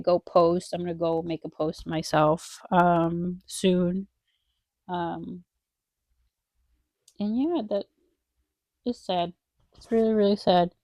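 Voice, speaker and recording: A woman's voice: on the low side, mellow, no room sound. A young woman vlogger with a congested nose, chatting casually and wistfully while drawing, rambling with lots of fillers.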